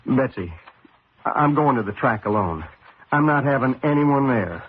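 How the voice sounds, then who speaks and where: Troubled racing driver, old radio. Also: male voice, mid-range voice, lively intonation, normal speed, slightly rough. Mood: impatient. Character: irritable, troubled male racing driver, haunted and defensive. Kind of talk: old radio drama acting. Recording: noisy narrowband old radio broadcast.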